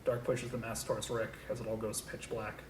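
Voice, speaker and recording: Even-toned man narrating in an echoey room. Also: fairly quick. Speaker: narrator of stage directions. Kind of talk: scripted narration at a table read. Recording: echoey room with low rumble.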